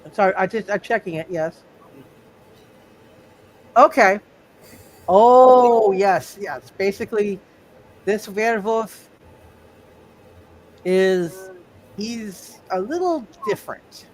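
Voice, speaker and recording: A man narrating a tabletop roleplaying game as game master, speaking haltingly and often repeating his words. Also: medium pitch, lively intonation, rough recording.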